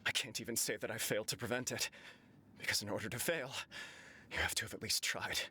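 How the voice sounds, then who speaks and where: A man's voice: lowish voice, quick pace, bright, forceful tone, slight background noise. An actor voicing an earnest, self-doubting young nobleman in a game, solemn and formal, over game music. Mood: remorseful. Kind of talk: game dialogue voice acting. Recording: game audio with music behind.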